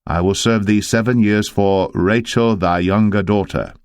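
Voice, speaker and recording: A man voicing an earnest, forceful shepherd in a dramatised scripture reading, with emphatic, declamatory archaic speech. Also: on the low side, fairly even intonation, a little slow, clear, resonant tone, dry recording, clean studio sound. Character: Jacob, earnest male shepherd, forceful when wronged.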